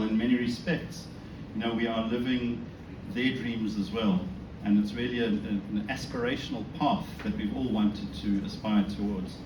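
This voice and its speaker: A South African man giving an informal religious talk to an audience, conversational and self-deprecating, often posing rhetorical questions. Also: medium pitch. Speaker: speaker giving a talk at a synagogue. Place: indoors in a synagogue, before an audience. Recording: echoey room, distant mic, noisy background.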